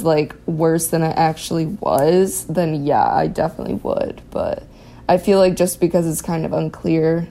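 A young woman talking casually and reflectively in an interview, in a halting, hedging way. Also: fairly low pitch, gravelly, mellow. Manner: halting, hedging with many likes. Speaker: interviewee in an audio documentary.

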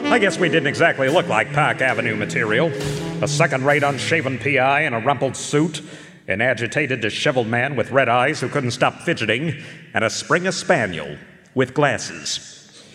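An actor playing a rumpled, wry private eye who delivers deadpan hardboiled lines in a noir radio drama. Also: male voice, mid-range voice, normal speed, music in the background, natural voice. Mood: deadpan narrating. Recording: live in echoey concert hall.

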